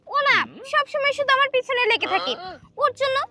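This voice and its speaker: Woman acting a high-pitched, lovelorn serpent wife. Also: expressive, brisk. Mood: complaining. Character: lovelorn serpent wife pining for her snake king, complaining of co-wife. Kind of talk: amateur comedy fairy-tale drama acting. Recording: very noisy outdoor location sound.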